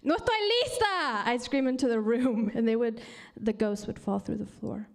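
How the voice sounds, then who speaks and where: Gravelly woman performing a poem aloud. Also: a little high, lively intonation, normal speed. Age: 30s. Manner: dramatic, shifting from whispers to screams; mixes English and Spanish. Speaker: poet reading at a live event. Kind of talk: performance poetry reading.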